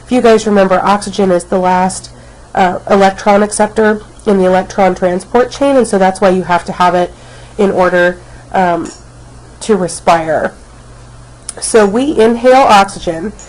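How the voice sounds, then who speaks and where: A woman's voice: medium pitch, moderate pace, darkish tone, poor audio. Snuffly woman teacher with a cold. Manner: many ums, chatty teacher tone. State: has a cold, snuffly and coughing. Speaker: biology teacher. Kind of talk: recorded lecture, casual. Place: at home, not at school.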